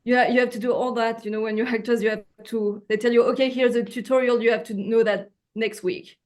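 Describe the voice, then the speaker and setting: A woman from France talks in English with a clear French accent, her voice sitting a little high. She is a guest actress on a video call, groping for words with many fillers and restarts. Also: steady tone, fairly quick, darkish tone. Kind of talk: casual interview over video call.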